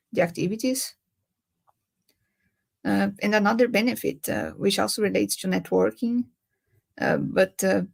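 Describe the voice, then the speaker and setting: Creaky-voiced Brazilian woman, hesitant. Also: medium pitch, darkish tone. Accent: Brazilian Portuguese. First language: Brazilian Portuguese. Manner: hesitant, many filler sounds. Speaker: webinar panelist. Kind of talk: webinar talk.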